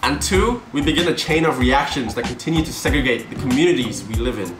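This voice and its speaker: A man's voice: medium pitch, lively intonation, fast. A young man, earnestly explaining things to his viewers in scripted commentary. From Malaysia. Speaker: vlogger. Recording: roomy echo with music underneath.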